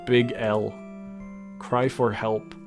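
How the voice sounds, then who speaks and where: Slow-paced man streaming a game over music. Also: medium pitch, flat delivery. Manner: informal, slangy, muttering choices to himself. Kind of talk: casual live game commentary, reading game text aloud.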